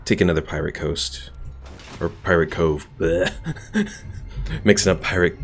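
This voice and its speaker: A man's voice: sits low, measured pace, creaky, faint music behind. A man casually chatting with viewers while streaming a strategy game, laid-back and rambling. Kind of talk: casual live stream commentary.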